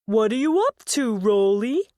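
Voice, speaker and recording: Casting: female reader playing a generous, easily amazed storybook pal, lively and kindly. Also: fairly high pitch, unhurried, no room sound, studio quality. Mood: curious, amazed.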